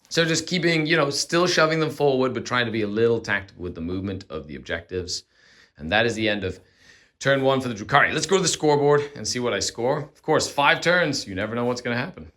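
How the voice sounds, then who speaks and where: A man with a hoarse, worn-out voice, hosting and explaining a tabletop wargame turn by turn in casual chat. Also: on the low side, lively intonation, fast, fairly bright tone. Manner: chatty, explains moves step by step. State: hoarse, tired voice. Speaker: host. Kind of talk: casual battle report commentary.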